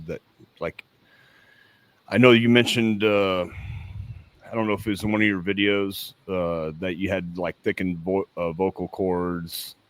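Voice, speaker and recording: A man hosting a podcast speaks in a very low voice. He asks loose, rambling questions that are full of fillers. The sound is dry and close, with no room echo. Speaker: podcast host. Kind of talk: interview questions.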